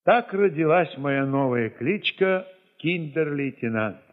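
A man narrating an audio play in the first person, expressive and theatrical, with emphatic pauses. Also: on the high side. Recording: muffled, long reverb, music underneath.

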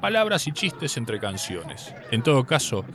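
A man with a Uruguayan Spanish accent reads scripted radio narration as a segment host, in a measured, literate, explanatory way. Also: medium pitch, very animated, a bit bright, a faint crowd, slight background noise.

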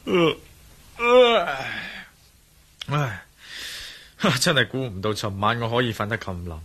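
An actor playing a troubled, suspicious adventurer-investigator in a Hong Kong Cantonese radio drama, speaking conversationally with sighs. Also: male voice, lowish voice, moderate pace, sharp tone. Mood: groggy, exasperated. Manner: sighing, conversational.

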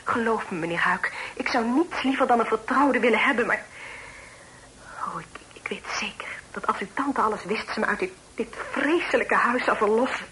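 A woman's voice: fairly high pitch, normal speed, sharp tone. An actress playing an easily frightened, distressed young lady in a period radio drama, speaking formally and pleadingly. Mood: apologetic, yearning. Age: young adult. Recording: noisy old radio drama recording.

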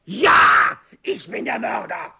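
An actor playing a high-strung, exasperated man driven murderous while reading crime novels, in 1960s radio comedy acting with a raspy, strained voice. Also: very animated, slow, very noisy, heavily acted. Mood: menacing, deranged. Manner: hysterical, melodramatic outbursts.

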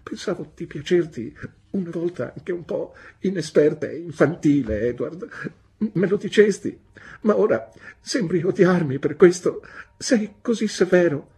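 A man voicing a timid young wife who pleads through tears, trembling and high. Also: a little slow, dark tone, slightly rough, exaggerated voice. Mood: pleading.

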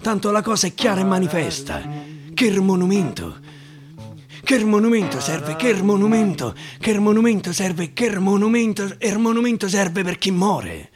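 A man's voice: sits high, very animated, moderate pace. A man with a Roman accent gives a theatrical recitation of dialect verse, dramatically repeating phrases for emphasis. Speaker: stage actor and storyteller. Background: acoustic guitar.

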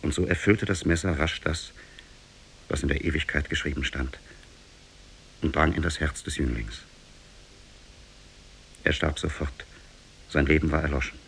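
An actor playing a sorrowful man who recounts his own tragic deed in solemn, measured 1950s radio drama style. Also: extremely low pitch, fairly bright tone, slight background noise, low-quality recording. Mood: sorrowful, grave. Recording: 1950s radio recording from cassette.

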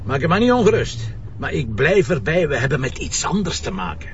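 An actor playing a blunt, sardonic and stubborn police commissioner with a Flemish accent in a crime drama. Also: male voice, a little high, sing-song, a little fast. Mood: stubborn, exasperated. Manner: blunt, sarcastic, insistent. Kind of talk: broadcast crime drama acting. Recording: dull sound with background hum.

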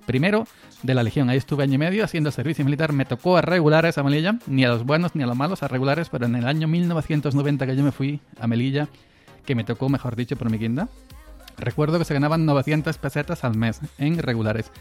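A man's voice: medium pitch, fast. A man in his forties from southern Spain, hosting a casual live stream and chatting to listeners in a rambling way, often repeating words and chuckling. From Granada, Andalusia, Spain. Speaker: podcast host on a live stream. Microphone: desk mic through an audio interface. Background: faint background music.

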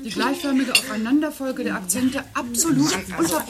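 A woman's voice: high-pitched, expressive, fairly quick, a loud crowd. An actress playing an opinionated, pompous intellectual woman in a radio drama, speaking in a declamatory, emphatic way. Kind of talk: naturalistic radio drama dialogue. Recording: loud music and clattering dishes behind. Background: music and clinking tableware.

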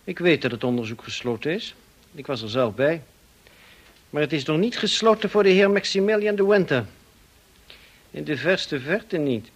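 An actor in a radio play playing a smug, insinuating blackmailer with a mocking, drawling, falsely polite manner. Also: male voice, on the high side, animated, moderate pace, long pauses, slightly rough. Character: smug, insinuating male blackmailer, cousin of the dead woman.

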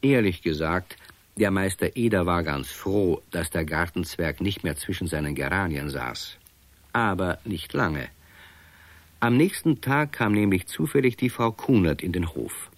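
Man narrating, low voice, lively, dry studio sound, storybook tone. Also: measured pace, dry recording.

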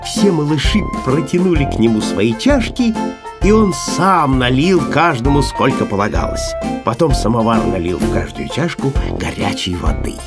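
Guy reading a kids story, super animated pitch, music playing behind. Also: moderate pace. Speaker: audiobook narrator. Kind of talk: expressive children's story narration.

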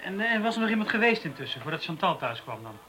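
A man's voice: high-pitched, very animated, quick pace, pushed and bright, exaggerated voice. A man playing a wry, matter-of-fact police detective in a naturalistic radio drama. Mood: wry, skeptical. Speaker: actor in a radio play. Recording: roomy, distant, noisy radio play recording.

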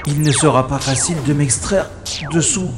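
A man's voice: fairly high pitch, normal speed, echoey room, music in the background, lightly acted. An actor playing a wisecracking special agent who narrates his own science-fiction adventure in a wry, theatrical way. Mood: wry relief. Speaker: lead actor and narrator of an audio drama. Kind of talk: dramatised first-person narration, sci-fi radio play.

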